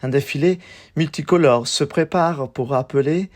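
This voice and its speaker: A man reciting spoken-word poetry in a measured, declamatory way, in short phrases set apart by pauses. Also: on the high side.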